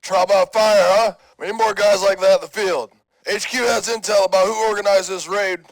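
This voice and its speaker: High-voiced, easygoing soldier played by an actor. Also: male voice, steady tone, moderate pace, no room sound, lightly acted, low-quality recording. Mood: confident. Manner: casual, clipped military talk. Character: easygoing confident male fellow soldier, adult. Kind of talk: video game dialogue.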